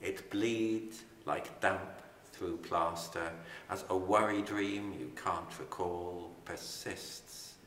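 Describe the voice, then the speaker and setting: An older man, a poet, reading and introducing his poetry in a measured, deliberate way with pauses. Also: deep voice, fairly even intonation, fairly bright tone. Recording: echoey reverberant hall with background noise.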